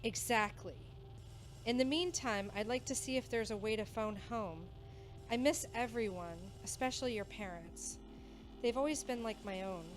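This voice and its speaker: Woman playing earnest curious young wife, highish voice, music in back. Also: moderate pace, lightly acted. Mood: wistful. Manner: earnest, concerned. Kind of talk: audio drama acting.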